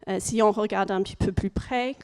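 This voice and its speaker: A woman with an English-speaking accent giving a conference presentation in French as a second language, pausing often with fillers and restarting phrases. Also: medium pitch, fairly even intonation, darkish tone, clear, resonant tone. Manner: hesitant, frequent fillers and restarts.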